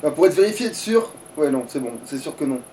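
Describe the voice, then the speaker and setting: A man's voice: fairly high pitch, lively intonation, fast, warm tone. A man chatting casually as he plays a game, grumbling and swearing freely. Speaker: let's player. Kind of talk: casual gameplay chat with a co-player. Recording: distant mic with background noise.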